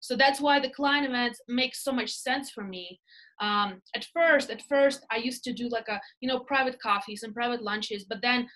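A woman in her thirties with a Russian accent, chatting as a guest on a video call about her work, animated and often asking "right?". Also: on the high side, moderate pace. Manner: animated storyteller, gestures with her hands, tags lines with "right?". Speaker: real estate team leader, guest. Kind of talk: casual interview chat. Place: small indoor room. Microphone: laptop webcam video call.

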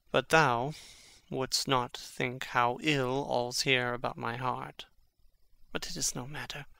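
An actor playing Hamlet, a brooding, witty young prince, in a measured, theatrical Shakespearean reading. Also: male voice, fairly high pitch, gravelly, sharp tone, no echo, heavily acted. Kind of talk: dramatic reading of Shakespearean verse.

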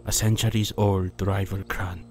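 A man voicing a composed, guarded ninja warrior in a fantasy audiobook, speaking in a measured, serious way. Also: low-pitched, flat delivery, faint music behind. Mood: troubled. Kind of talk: audiobook character dialogue.

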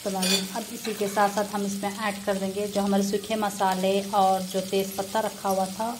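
A woman cooks in her home kitchen, talking viewers through each step in an easygoing, friendly manner. Her voice sits at an ordinary middle pitch, picked up by a phone held over the stove. The sizzle of frying and the scrape of a spoon run clearly behind her. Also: level tone, moderate pace, very noisy. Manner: friendly, addresses viewers as nazreen, frequent religious blessings. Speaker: cooking vlogger. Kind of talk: casual cooking tutorial narration.

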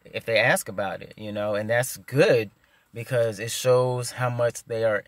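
A man's voice: mid-range voice, measured pace, no echo. A man in his thirties who stutters, giving casual advice into a phone camera from his parked car, with repeated words and many fillers. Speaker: vlogger. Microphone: phone camera at arm's length.

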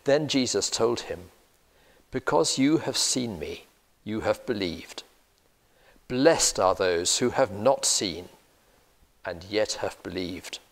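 Older male reader, slow, deliberate scripture reading with church reverb. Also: lowish voice. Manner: steady, deliberate reading aloud. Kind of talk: Bible reading. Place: church, indoors, seated at a lectern.

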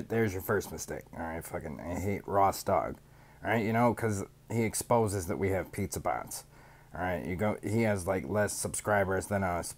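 Man doing a smug villain streamer, very deep voice, gravelly, deadpan, home studio mic. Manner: deadpan mock-confession, sarcastic. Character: smug male rival streamer, self-declared villain. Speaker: podcast guest doing a mocking impression. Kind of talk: sarcastic in-character rant. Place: small home studio room. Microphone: studio mic on boom arm, headphones.

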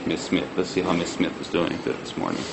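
A man with a very deep, creaky voice walks through a product demonstration. His delivery is conversational and a little hesitant. There is a clear ambient hum behind him in an indoor space. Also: sing-song, brisk.

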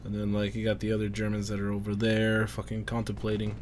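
A man's voice: fairly low pitch, monotone, fast talker, a little mellow. Sweary man commentating over noisy game audio. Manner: frustrated, sweary muttering. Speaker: gamer playing a shooter. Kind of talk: casual gameplay commentary. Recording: game gunfire and ambience behind. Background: war game sounds, gunfire.

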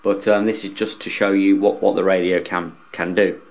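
A man's voice: low-pitched, level tone, normal speed, a little mellow. A man casually reviewing gear unscripted, in a plain-spoken, rambling, hesitant way that often repeats words. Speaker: radio hobbyist reviewer.

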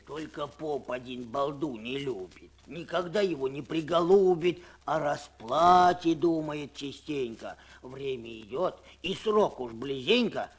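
A man narrating a verse fairy tale for a children's record, in an expressive reading. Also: a little high, measured pace, few pauses, breathy edge.

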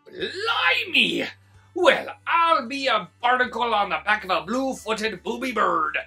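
A gruff, blustering pirate who drives a school bus in a children's storybook. His pirate talk is full of drawn-out growls and swings widely in pitch. He takes his time, and music plays behind him. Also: sing-song, pushed and bright, exaggerated voice. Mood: hearty.

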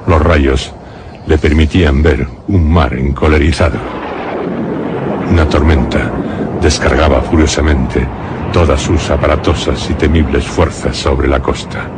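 A man's voice: a bass voice, fairly even intonation, a little slow, background noise. A man from narrating a scripted radio horror and suspense drama in a solemn, dramatic storytelling manner. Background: music.

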